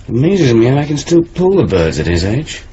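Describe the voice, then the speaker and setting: A man's voice: medium pitch, big pitch swings, brisk, warm tone, slightly rough. An actor playing a dismissive, cynical man with a British accent, offhand and sardonic, in a naturalistic radio drama. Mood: offhand, wry. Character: dismissive, cynical male partner, unruffled and sardonic. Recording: muffled 1970s radio drama, faint noise.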